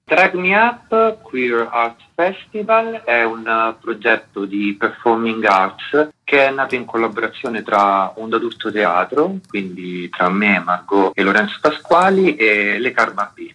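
Bright, husky, lowish-voiced man. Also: animated, measured pace, low-quality recording. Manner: explanatory. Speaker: festival organiser, interview guest. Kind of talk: radio interview, explaining a project.

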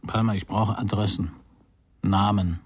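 A man's voice: lowish voice, steady tone, measured pace, breathy edge, no echo. An actor playing a blunt, cynical male investigator in a radio crime drama, terse and probing. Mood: terse, pressing. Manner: terse, probing, hard-boiled.

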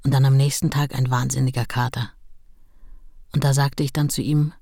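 An actress playing a sober, plain-spoken writer's wife who reminisces matter-of-factly in a radio play. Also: female voice, extremely low pitch, flat delivery, moderate pace, mellow, no room sound, clean studio sound. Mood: sober, rueful. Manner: matter-of-fact, unadorned.

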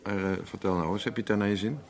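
An actor playing a weary, curt husband in a radio play, gruff and evasive, yet placating in a hesitant way. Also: male voice, on the low side, steady tone, racing, slight background noise, natural voice. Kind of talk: Dutch radio play acting.

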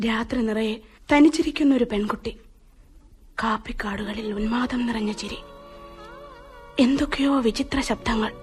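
An actress playing a hurt young bride, cool and sarcastic in a radio drama. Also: female voice, on the high side, moderate pace, pauses often, faint music behind, natural voice. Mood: cutting. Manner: cool, cutting, sardonic.

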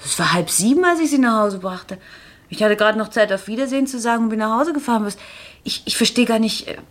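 A woman's voice: on the high side, lively intonation, quick pace, natural voice. An actress playing a wife in a radio play who deflects her husband's questions and firmly pushes him to talk. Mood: defensive. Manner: firm, defensive, brushing off worries.

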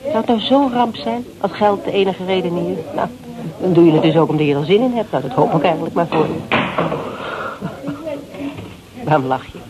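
An actress in a Dutch radio play as a frank, self-assured woman, gently teasing and probing in colloquial speech. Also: on the low side, a little fast, pauses often, lightly acted, poor audio. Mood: challenging, teasing. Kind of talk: naturalistic Dutch radio drama acting. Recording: radio play with crowd chatter behind.

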